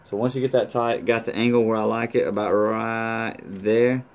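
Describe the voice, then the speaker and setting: A man with a fairly low voice walks through gear in a casual vlog tutorial. His talk is colloquial and conversational, dotted with playful verbal sound effects. He speaks indoors in a small room at home. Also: steady tone, moderate pace. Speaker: motorcycle vlogger demonstrating gear. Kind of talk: casual hands-on tutorial chat.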